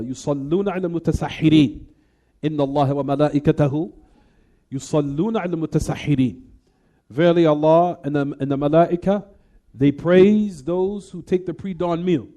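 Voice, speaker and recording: A man's voice: on the high side, warm tone. Guy teaching a religious lesson, rattles off arabic recitation, keeps asking the class "what?". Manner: asks the class questions and answers them himself; recites Arabic text quickly between plain explanations. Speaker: Islamic teacher. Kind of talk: classroom lecture on hadith.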